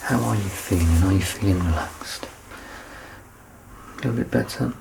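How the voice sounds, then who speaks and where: A man in his thirties doing an ASMR medical exam roleplay as a doctor, soft-spoken, reassuring and explanatory. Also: sits low, normal speed, creaky, noisy background. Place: small curtained indoor room.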